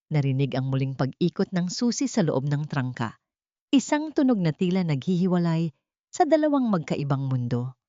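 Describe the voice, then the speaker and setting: A woman's voice: low-pitched, a little mellow. A woman narrating a scripted audiobook drama in a dramatic storytelling style. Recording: muffled, echoey sound.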